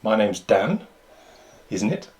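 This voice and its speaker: Playful joking man, lively voice. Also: lowish voice, slow, slight background noise. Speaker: co-host. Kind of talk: casual chat. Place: home.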